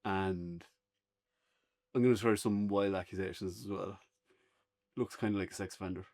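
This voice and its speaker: An Irish man with a slightly low voice. He chats in a loose, unscripted style, bantering as a co-host on a review podcast. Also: steady tone, normal speed, a little mellow.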